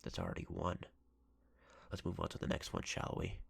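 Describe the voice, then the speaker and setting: A man playing a menacing, possessive yandere ex-boyfriend in an ASMR roleplay, with a gravelly, breathy voice and a deliberate, threatening delivery. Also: deep voice, monotone, fairly bright tone, no echo, full character voice. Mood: controlled cruelty. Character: menacing obsessive yandere ex-boyfriend, a jealous captor.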